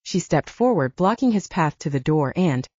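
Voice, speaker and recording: A woman narrates a novel in a mellow, slightly husky voice. She is expressive and moves freely through her range as she shifts between narration and dialogue. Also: deep voice, normal speed, dry recording, lo-fi sound. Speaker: audiobook narrator.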